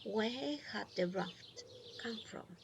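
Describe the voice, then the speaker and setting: A woman narrates a children's story with deliberate, expressive phrasing. Her pace is slow and her pitch sits in a middle range. Birds, frogs and light outdoor ambience can be heard behind her. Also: vocal fry, noisy background. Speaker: storybook reader.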